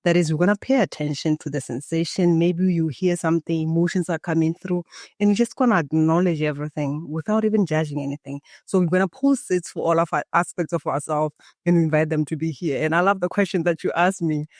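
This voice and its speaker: A woman from Botswana, a Setswana native speaker, guesting on a podcast and telling her story in a calm, guiding way, as if leading a meditation. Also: deep voice, a little fast, no room sound, studio quality. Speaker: guest, embodiment coach. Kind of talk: podcast interview, reflective storytelling.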